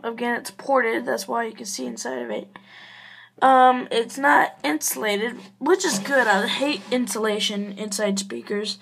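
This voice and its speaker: A woman giving a casual, rambling home review in a halting, offhand manner. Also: on the high side, creaky, a bit bright, slightly rough. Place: small bedroom at home, indoors. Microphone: handheld camera or phone.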